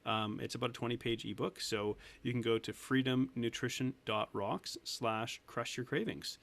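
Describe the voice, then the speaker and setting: A man's voice: mid-range voice, steady tone, normal speed, vocal fry, fairly bright tone. A man in his thirties, a weight loss coach talking earnestly as a podcast guest, laughing often and restarting sentences. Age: 30s. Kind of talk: casual interview talk.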